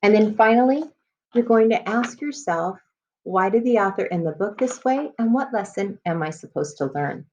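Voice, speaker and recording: A woman's voice: mid-range voice, animated, moderate pace, a little mellow, highs cut off, slight background noise. A woman elementary school teacher leading a read-aloud lesson for children, explaining and addressing her listeners as she goes.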